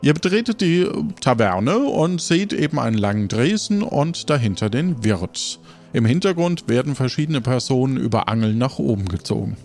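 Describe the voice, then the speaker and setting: A man narrating an improvised tabletop game as game master, halting and building scenes in short phrases. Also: medium pitch, sing-song, a little mellow. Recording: music under the voice.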